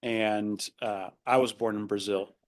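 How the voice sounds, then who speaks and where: Podcast guest: young man, Spanish first language, lowish voice, reflective, halting. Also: steady tone. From Argentina. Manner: thoughtful, many fillers and restarts. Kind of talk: casual interview conversation.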